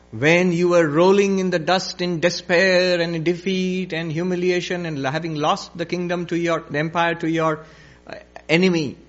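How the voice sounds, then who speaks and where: A man's voice: fairly high pitch, muffled recording, natural voice. A man voicing a wise sage in a spoken parable, putting formal, rhetorical questions. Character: wise male sage, calmly probing an emperor.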